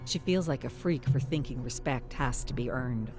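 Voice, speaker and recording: A narrator with a medium-pitched voice reads a scripted tale. The delivery is measured and dramatic storytelling in the present tense, laid over music. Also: darkish tone.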